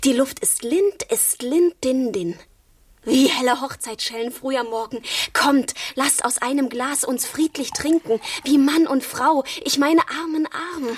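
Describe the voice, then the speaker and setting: Coy, high-voiced young servant woman. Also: a little slow, husky, dry recording, distant mic. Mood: playful, coy. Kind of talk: German radio play acting. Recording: off-mic, faint noise and clicks.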